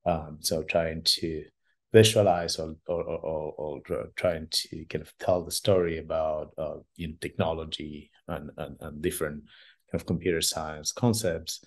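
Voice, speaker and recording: Guy from argentina on a podcast, really low voice, kinda halting, thinks out loud. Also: steady tone. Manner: hesitant, halting, thinking aloud. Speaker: podcast guest, engineer and artist. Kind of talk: casual interview answers.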